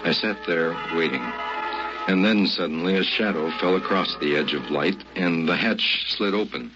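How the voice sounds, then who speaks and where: An actor playing a grown man recounting a boyhood ordeal, narrating an old-time radio drama in a grave, measured way. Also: deep voice, lightly acted. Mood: grim, suspenseful. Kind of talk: scripted first-person radio drama narration. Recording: dull old radio recording. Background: loud dramatic music.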